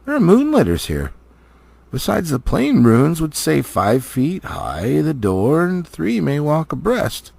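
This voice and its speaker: A wise and stately elf lord acted out by a man reading a storybook. He declaims solemnly, rising and falling dramatically. The voice is husky with a gravelly edge. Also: fairly high pitch, wildly swooping pitch, slow, darkish tone, no echo, voice pushed up, full character voice. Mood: intrigued.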